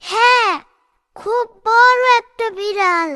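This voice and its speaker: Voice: very high-pitched, slow pace, sharp tone, no room sound, studio quality, full character voice. A performer voicing a little boy in a children's cartoon, playful and easily scared of a scolding. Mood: nervous.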